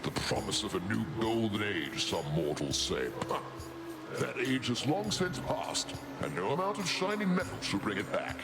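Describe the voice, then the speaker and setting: A man's voice: fairly low pitch, very animated, moderate pace, vocal fry. A man playing a grave, disdainful ancient being in a video game, solemn and declamatory. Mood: solemn, scornful. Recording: loud music behind.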